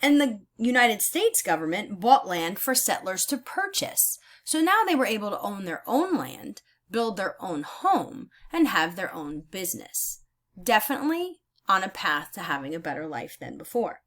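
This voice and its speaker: A woman teacher reading aloud in a deliberate, instructional way, pausing to explain her thinking as she goes. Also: mid-range voice, very animated, a bit bright. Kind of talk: read-aloud lesson with think-aloud comments.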